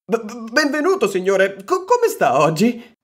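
A man's voice: extremely high pitch, wildly swooping pitch, unhurried, full character voice. A man playing a terrified, grovelling champion who stammers, in a cartoon parody dub. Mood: terrified, servile.

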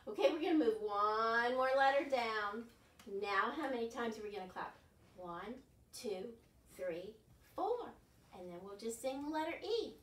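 High-voiced older woman hosting children's storytime. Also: measured pace. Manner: animated, exaggerated gasps and questions to young children. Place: carpeted living room at home. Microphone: camera across the room. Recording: roomy, distant mic with some background noise.

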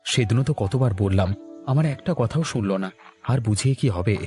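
A man's voice: mid-range voice, animated, a little fast, warm tone, natural voice. Over faint music comes a man's voice, an actor as a troubled young son and husband in a family cartoon. His delivery is plaintive. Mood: troubled, resigned. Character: troubled young married office-worker son. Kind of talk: Bengali family cartoon acting.

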